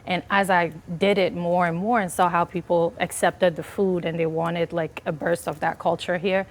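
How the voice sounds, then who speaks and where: A woman's voice: lowish voice, little pitch movement, moderate pace. A woman chef from Liberia, answering interview questions in an earnest, chatty way with many fillers. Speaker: chef and business owner, guest.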